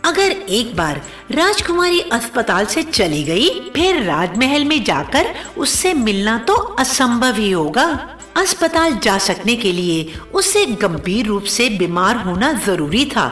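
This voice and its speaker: A woman's voice: on the high side, sing-song, takes it slowly, a bit bright, soft background music. A woman narrating a scripted cartoon story as a storyteller.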